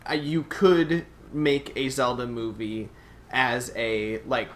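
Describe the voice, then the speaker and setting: A man's voice: mid-range voice, steady tone, slow, fairly bright tone. A man co-hosting a casual podcast discussion, speaking in an opinionated, emphatic way. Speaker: podcast co-host. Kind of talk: casual chat about games and movies.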